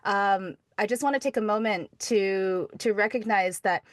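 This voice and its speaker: A woman's voice: mid-range voice, moderate pace, no echo. A woman hosting a podcast, interviewing casually and effusively, thinking aloud in informal phrases. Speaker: podcast host.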